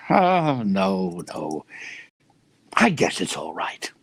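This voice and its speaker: A man's voice: deep voice, wildly swooping pitch, drawn-out words, breathy edge, no echo, exaggerated voice. A man playing a stuffy, easily scandalized husband in a 1940s-style radio sitcom, pompous and given to bluster. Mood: uneasy.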